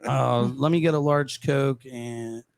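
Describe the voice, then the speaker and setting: A man's voice: medium pitch, fairly even intonation, takes it slowly, creaky, smooth, no room sound. A man from Ohio hosting a podcast in loose, profane, joking chat.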